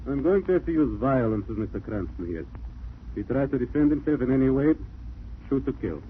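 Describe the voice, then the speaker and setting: Man playing suave radio villain, animated and menacing. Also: mid-range voice, a little fast, close to an everyday voice. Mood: commanding menace. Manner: mockingly courteous, formal. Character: suave, menacing male criminal ringleader with a gun. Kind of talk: 1940s radio acting. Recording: scratchy, narrow-band 1940s radio recording.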